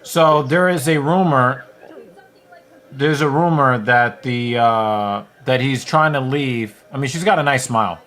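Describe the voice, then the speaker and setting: A young man in easygoing podcast banter, his voice sitting at an ordinary middle pitch. He talks in a dry, teasing way, dropping crude jokes and reading out news between laughs. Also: unhurried, fairly bright tone. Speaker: podcast co-host. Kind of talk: casual banter.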